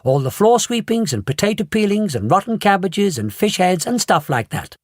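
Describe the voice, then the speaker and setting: A man voicing an eccentric, calm factory owner who speaks matter-of-factly. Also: high-pitched, normal speed, no room sound, clean studio sound, voice pushed up. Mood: breezy. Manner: calm, breezy, matter-of-fact. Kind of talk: storybook read-aloud.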